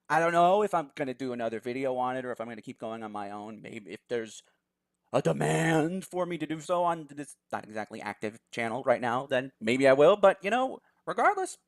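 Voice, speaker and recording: A host narrates a visual novel with music behind. The delivery is dramatic and very lively in pitch, moving between expressive read-aloud and commentary. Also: no room sound, clean studio sound. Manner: dramatic, animated reading. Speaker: video host and reader.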